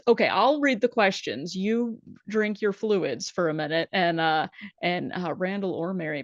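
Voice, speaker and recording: A woman hosts a relaxed online talk show, chatty and encouraging. Her voice arrives dull and lo-fi, with a dry, close sound and no room echo. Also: medium pitch. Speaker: host. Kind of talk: casual chat.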